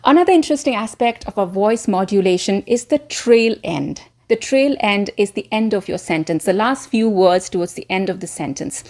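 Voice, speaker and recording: A woman presenting an instructional talk as a voice coach, speaking directly and encouragingly to viewers with deliberate stress on key words. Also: medium pitch, animated, a little mellow.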